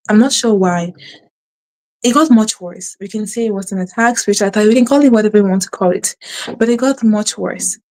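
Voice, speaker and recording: Earnest woman with a stutter, mellow voice. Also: mid-range voice, low-quality recording. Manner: fast, earnest, with restarts and a stutter. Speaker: faith-based channel host. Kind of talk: casual spiritual advice to camera.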